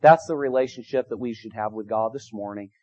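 A man's voice: lowish voice, fairly quick, a little mellow. A middle-aged man preaching a sermon, emphatic and repetitive, his volume rising and falling. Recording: dull lo-fi sound.

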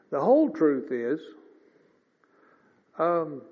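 A man in his fifties preaching a sermon in a folksy, conversational way, often clearing his throat. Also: a little high, big pitch swings, very slow. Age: 50s. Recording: dull lo-fi sound with long reverb.

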